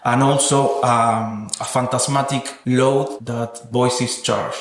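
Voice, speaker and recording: A man's voice: medium pitch, fairly even intonation, slow pace. Man speaking evenly in an echoey room. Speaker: interviewed sound artist. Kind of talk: reflective interview about own work.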